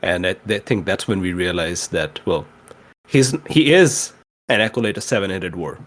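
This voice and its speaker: Male player, slightly low voice, casual chat with a deliberate, dryly humorous delivery. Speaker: podcast player.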